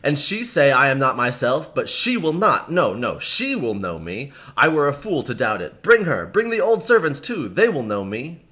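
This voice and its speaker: A man's voice: medium pitch, sing-song, normal speed, bright, forceful tone, voice pushed up. A man voicing an earnest young nobleman in a storybook reading, speaking in archaic, impassioned, pleading lines. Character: earnest young nobleman returning home, dramatic.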